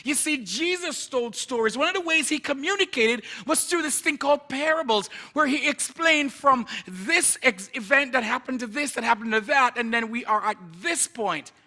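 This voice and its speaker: A man's voice: extremely high pitch, normal speed, hardly any pauses, bright, forceful tone. A man from Jamaica with a Jamaican accent, preaching as a guest in a church in a chatty, rambling, self-joking way while moving around. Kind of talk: informal sermon. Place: church sanctuary.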